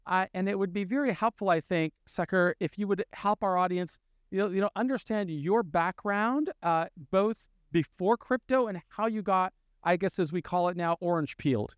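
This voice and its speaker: Man, interview host, high-pitched, gracious measured questions, dry close sound. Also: clean tone, dry recording, dull audio. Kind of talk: conversational interview.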